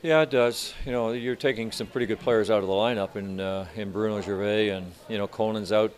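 An older man in his sixties, a hockey coach answering reporters after a game, speaking in a measured, halting way with many restarts. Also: fairly low pitch, fairly even intonation. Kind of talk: postgame press interview. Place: arena media room in front of sponsor backdrop.

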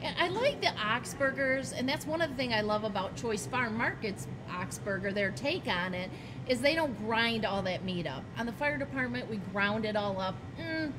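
A woman's voice: fairly high pitch, animated, moderate pace, noisy background. A middle-aged woman guest telling stories with emphasis in a casual chat at a farm shop table. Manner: storytelling, emphatic, laughs. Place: farm market shop, indoors.

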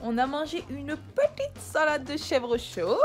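A young woman talks chattily to a camera held at arm's length, calling her viewers friends. Her voice sits a little high, and music plays underneath. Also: sing-song, unhurried. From France. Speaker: vlogger. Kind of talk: casual vlog narration to camera. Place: hotel room and restaurant at a ski resort. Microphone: handheld camera at arm's length.

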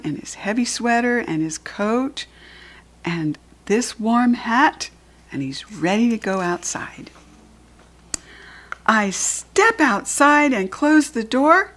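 An older woman with an American accent reads a picture book aloud to young children, teaching as she goes with simple questions and pointing things out. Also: on the high side. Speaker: children's read-aloud host and reading teacher.

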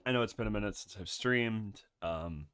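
A man's voice: fairly low pitch, a bit bright, smooth. A man streaming a game, chatting casually and hesitantly with many ums while he has a cold. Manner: halting, full of ums, nervous laughs. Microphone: desk microphone.

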